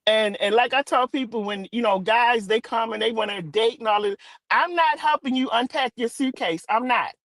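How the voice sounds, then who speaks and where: A woman's voice: a little high, moderate pace, fairly bright tone, no room sound. A woman chatting casually on a live talk show, plain-spoken and emphatic, repeating phrases for stress.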